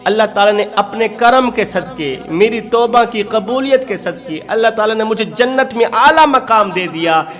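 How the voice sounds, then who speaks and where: A man's voice: high voice, lively intonation, normal speed, hardly any pauses, fairly bright tone. A man delivering an emotional Islamic sermon, telling a moral story in an impassioned, exhorting way with emphatic repeated phrases. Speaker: Islamic preacher.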